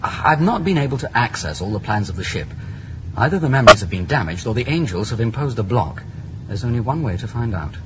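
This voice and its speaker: A man's voice: mid-range voice, big pitch swings, fairly quick, close to an everyday voice. An actor playing a bold, curious young starship crewman in a science fiction radio drama, urgent and insistent. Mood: eager, defiant. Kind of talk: 1980s British radio science fiction acting. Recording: muffled, noisy old radio drama recording.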